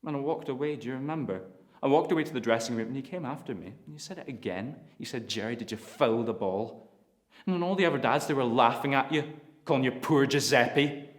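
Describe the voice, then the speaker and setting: A man's voice: mid-range voice, fast talker, pitched above the performer's own voice, lightly acted. A man playing a bitter son raging at his father. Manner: furious, swearing, voice climbing to shouts. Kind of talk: audition monologue. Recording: roomy echo.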